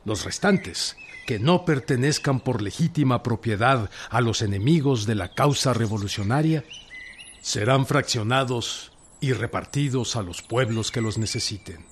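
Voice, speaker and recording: An actor playing a resolute revolutionary general from rural Mexico in a radio drama, speaking solemnly and deliberately with a Mexican accent. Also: male voice, mid-range voice, animated, a little hiss or hum, close to an everyday voice. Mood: solemn, proclaiming. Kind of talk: radio drama acting, proclamation and dialogue.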